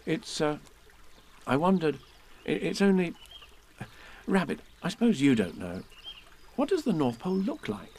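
A man's voice: fairly high pitch, sing-song, unhurried, pauses often, a little mellow, slight background noise, voice pushed up. An earnest young boy, read by a man in a storybook. The voice stays close to the reader's own, only slightly lifted. He is a touch hesitant, with an air of earnest purpose.

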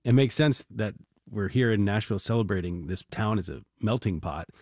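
A man's voice: on the low side, fairly quick, darkish tone, no room sound, clean studio sound. A man hosting a radio music show, interviewing guests in a polished, encouraging way. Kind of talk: broadcast interview. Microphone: studio mic.

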